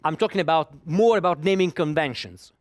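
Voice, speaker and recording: Guy talking at a dev conference, bit high voice, keeps repeating words, dry sound. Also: a little fast, dry recording. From Italy. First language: Italian. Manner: repeats words while searching for them, with small laughs. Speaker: conference speaker. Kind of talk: technical conference talk.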